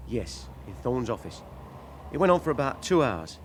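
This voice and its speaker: A man plays a cagey small-time snout in a radio crime play. His middle-pitched voice swings expressively as he haggles and guards what he knows, over audible background ambience. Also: sing-song, moderate pace, close to an everyday voice. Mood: confiding. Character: cagey small-time male police informant, angling for money. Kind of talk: British radio crime drama acting. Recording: clear background ambience noise.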